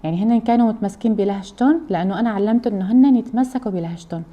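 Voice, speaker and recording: A woman's voice: medium pitch, rapid-fire, highs cut off. A woman from Aleppo with a Syrian accent, a mother chatting casually and explaining things at length to her viewers. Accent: Aleppo Syrian Arabic. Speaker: mother and cooking vlogger.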